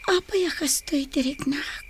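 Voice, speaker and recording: High, soft lovesick young daughter. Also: female voice, measured pace, a little hiss or hum. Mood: sad, longing. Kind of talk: Ilocano radio drama acting. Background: music.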